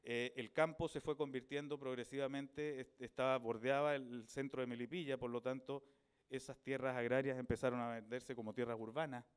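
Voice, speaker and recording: A middle-aged Chilean man speaks in a smooth, resonant voice at an ordinary middle pitch. He gives a reflective talk about his book, thoughtful and unhurried, pausing to search for words. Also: fairly even intonation. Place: book fair event.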